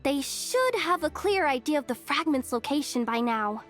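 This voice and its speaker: A woman's voice: high-pitched, animated, normal speed, fairly bright tone, soft background music. A woman voicing a nervous young female scout who stammers and gets flustered. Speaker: game character.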